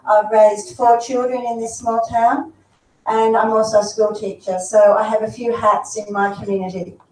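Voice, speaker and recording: A woman's voice: fairly high pitch, little pitch movement, moderate pace, noisy background. A middle-aged woman, a practice manager and teacher, introducing herself plainly. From Australia. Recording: very echoey room, distant mic.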